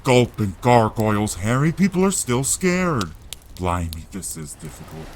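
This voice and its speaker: A man playing a big, gruff, kindly, emotional giant of a man in an audio drama, speaking bluntly in rustic dialect with exclamations. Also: mid-range voice, sing-song, a little slow, slight background noise, voice pushed up. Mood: anxious, reluctant.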